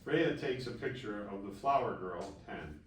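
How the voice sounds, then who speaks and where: A man narrates stage directions at a table read. His voice sits fairly low. The sound is reverberant and distant, with faint noise behind it. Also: lively intonation, moderate pace. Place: festival table read in a room. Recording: echoey room, distant mic, faint noise.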